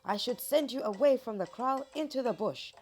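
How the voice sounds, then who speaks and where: A woman's voice: a little high, expressive, normal speed, darkish tone, soft background music, voice pushed up. A woman voicing a fierce, angry male village chief in a children's folk tale, scolding and commanding in a bellow.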